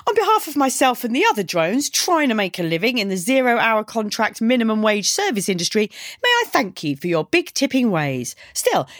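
A brash young punk woman, acted by a female audiobook narrator, glib and mocking with a deadpan edge. Her pitch sits raised and moves freely as she taunts. The sound is dry and close. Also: dry recording. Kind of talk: audiobook character dialogue.

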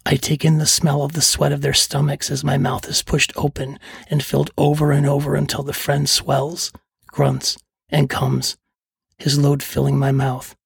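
A middle-aged man with a husky, gravelly voice, narrating scripted first-person stories as a podcast host. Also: a little high, completely flat delivery, normal speed, no echo. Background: faint music.

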